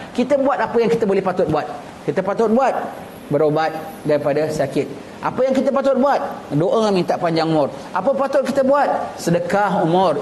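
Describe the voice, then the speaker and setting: A man's voice: sits high, darkish tone. A man from Singapore with a Singaporean Malay accent gives a religious lecture as an Islamic teacher, explaining conversationally with repeated phrases and rhetorical questions. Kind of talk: religious lecture, reading a text and explaining it colloquially. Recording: echoey room with clear background noise.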